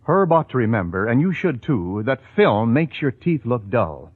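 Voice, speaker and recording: A man's voice: mid-range voice, sing-song, moderate pace, slightly rough, no echo. A man with an American accent announcing and reading sponsor copy in 1940s radio style. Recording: muffled 1940s radio broadcast, organ music. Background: loud music.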